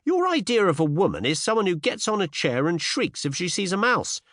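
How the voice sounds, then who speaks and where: A man's voice: sits high, very animated, fairly quick, a bit bright, no echo, clean studio sound, voice pushed up. A man voicing a cheeky, bold young woman in an audiobook, pert and teasing, with eager curiosity and old-fashioned slang. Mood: bold, coaxing. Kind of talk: audiobook character dialogue with period slang.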